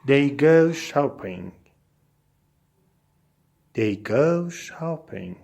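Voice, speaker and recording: Very slow-paced man reading lesson phrases. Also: medium pitch, animated, vocal fry, darkish tone. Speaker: English teacher. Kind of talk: English lesson, reading short phrases aloud with repetition.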